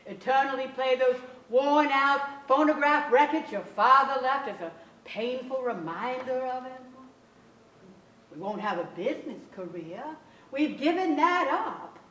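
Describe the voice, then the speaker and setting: A woman's voice: high-pitched, lively intonation, a little slow, fairly bright tone, echoey room, far from the mic, poor audio. An actress playing an anxious, overbearing middle-aged mother in a staged drama, theatrical, fretful and emphatic. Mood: fretful, pleading. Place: large theatre stage with audience, indoors.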